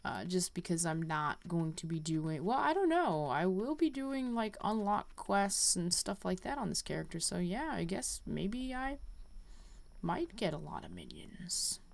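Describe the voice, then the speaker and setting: A woman giving casual game playthrough commentary, rambling and thinking out loud as she goes. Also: lowish voice, sing-song, a little slow, creaky. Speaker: gaming video host.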